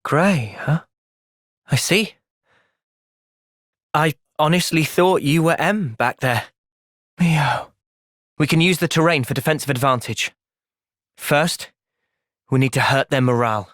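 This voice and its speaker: A man with a British accent playing a thoughtful, gentle young soldier in video game cutscenes, measured and earnest. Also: on the high side, no echo, clean studio sound. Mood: calm, earnest.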